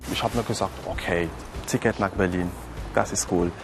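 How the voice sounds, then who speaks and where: A man's voice: low-pitched, steady tone, moderate pace. A man in his early twenties with a Cameroonian accent, introducing himself. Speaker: student contestant. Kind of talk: casual self-introduction. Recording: music bed underneath.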